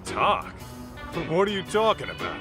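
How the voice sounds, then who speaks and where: A man's voice: high-pitched, wildly swooping pitch, normal speed. An actor playing an easygoing, blunt, streetwise tough guy in a video game, speaking in a casual, offhand way. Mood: casual, teasing. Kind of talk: naturalistic video game voice acting. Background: music.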